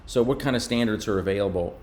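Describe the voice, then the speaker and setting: A man with a fairly low voice explains technical material as a presenter. His delivery is measured and informal, like walking through slides. Faint noise sits behind the voice. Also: a little mellow. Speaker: presenter from a radio maker.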